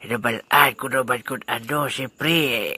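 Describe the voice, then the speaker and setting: An easygoing old grandfather, played by a man who talks slowly and laughs things off. He mutters quietly to himself between jokes. A steady background noise runs under the amateur recording. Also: medium pitch. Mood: jovial. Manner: laughing, good-humoured, slow, muttering asides. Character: good-natured old grandfather, joking and easygoing. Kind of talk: amateur audio drama.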